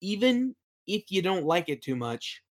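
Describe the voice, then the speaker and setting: A podcast guest chatting casually about creative work, a little hesitant and softening opinions as they go. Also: mid-range voice, sing-song, a little slow. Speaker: interview guest, creator of a fantasy audio play. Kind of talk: casual interview chat about worldbuilding.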